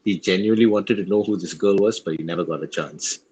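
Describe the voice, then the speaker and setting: A man's voice: low-pitched, quick pace, a little mellow, slight background noise, poor audio. A man, an actor being interviewed, talking reflectively and conversationally about his work.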